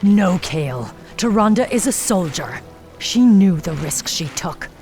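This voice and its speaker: A woman's voice: lowish voice, big pitch swings, slow, a little mellow, faint music behind. A woman playing a hard, driven night elf warden in a video game, stern and clipped, with a commanding, impatient manner. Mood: cold, commanding. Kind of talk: dramatic video game voice acting.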